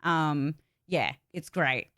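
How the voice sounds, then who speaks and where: Woman, slightly low voice, clear and smooth, dry close sound, informal rambling livestream chat. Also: drawn-out words, sharp tone, no echo. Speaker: voice actor and streamer giving updates.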